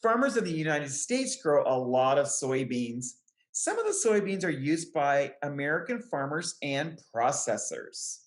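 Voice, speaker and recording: A woman reading a picture book aloud to young children, with careful, deliberate phrasing. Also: deep voice, big pitch swings. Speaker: children's book reader, extension educator. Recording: roomy, echoing sound.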